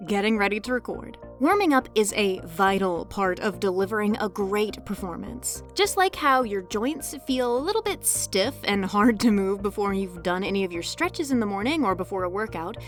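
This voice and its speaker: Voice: mid-range voice, lively intonation, normal speed, few pauses. A woman narrating a scripted tutorial as host, with a playful, cheeky manner and joking asides. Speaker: host, indie voice actor giving tutorial. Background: background music.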